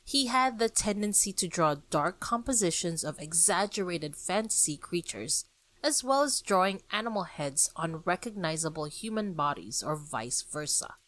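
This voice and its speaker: A woman hosting her own podcast, talking about books and art in a chatty, enthusiastic way, with lively rises for emphasis. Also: lowish voice, normal speed. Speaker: podcast host. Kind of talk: casual podcast discussion.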